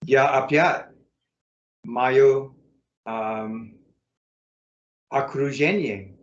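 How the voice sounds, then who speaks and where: Man, American accent, native English speaker, halting learner's speech, many fillers, echoey room. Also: mid-range voice, lo-fi sound. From United States. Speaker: interview guest, English teacher. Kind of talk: casual interview in learner's Russian.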